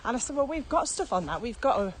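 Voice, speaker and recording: A woman's voice: on the high side, quick pace. A woman chatting casually as a podcast co-host. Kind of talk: casual conversational podcast chat. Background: steady ambient noise.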